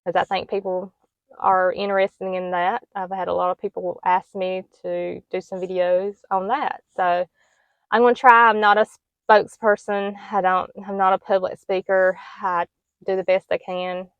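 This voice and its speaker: A middle-aged woman who talks casually to the camera in a soft, plain and hesitant way, with many ums. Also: medium pitch, little pitch movement, moderate pace, smooth, dry recording, muffled recording. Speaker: homestead vlogger.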